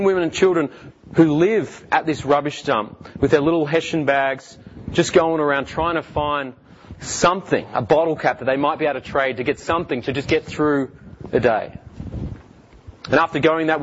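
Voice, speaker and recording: An earnest Australian man in his thirties shares his own story from the front of a hall, his voice sitting a little high. He stumbles through fillers and restarts. The recording is dull and muffled, with a faint hiss underneath. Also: moderate pace. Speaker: guest speaker. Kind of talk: personal testimony talk.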